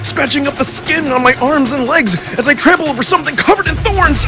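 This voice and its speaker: A man narrating a visual novel in the first person, theatrical and immersed in the story. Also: very high-pitched. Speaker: narrator and voice performer. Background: music under the voice.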